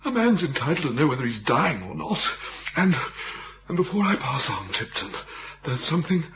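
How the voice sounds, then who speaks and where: A puny young English romantic in a radio comedy, sorry for himself and sure that all is lost. His highish voice lurches up and down as he stammers through melodramatic woe. Some noise sits behind him. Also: sing-song, moderate pace, a bit bright. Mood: self-pitying. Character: small fragile lovesick young Englishman, woefully hungover and self-pitying. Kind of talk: radio comedy drama acting.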